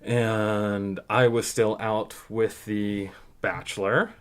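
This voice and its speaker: Low-pitched man, halting and reflective. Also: little pitch movement, a crowd in the background. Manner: halting, thoughtful, pauses mid-sentence. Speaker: podcast guest. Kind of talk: casual, reflective personal storytelling.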